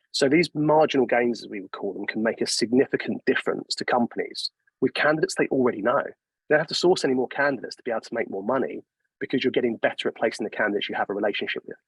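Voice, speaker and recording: A man's voice: medium pitch, fast talker, husky, dry recording, rough recording. A man giving a webinar presentation in an informal, explanatory manner. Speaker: software company presenter.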